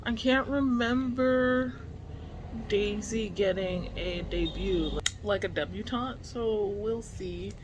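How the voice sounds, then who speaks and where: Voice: medium pitch, slow pace. A book vlogger of unclear sex chats casually in an informal, slangy way, musing aloud. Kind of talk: casual reading vlog chat. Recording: clearly noisy room ambience.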